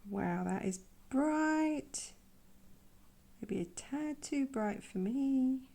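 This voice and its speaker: A woman with a croaky voice from a sore throat, softly talking through a painting tutorial in quiet, half-whispered asides. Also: high voice, animated, very slow. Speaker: art channel host. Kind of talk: soft-spoken painting tutorial.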